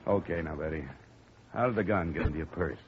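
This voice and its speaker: A man's voice: sits low, fast, slight background noise. An actor playing a dry, wisecracking male insurance investigator who narrates a 1950s radio drama. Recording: dull old radio broadcast.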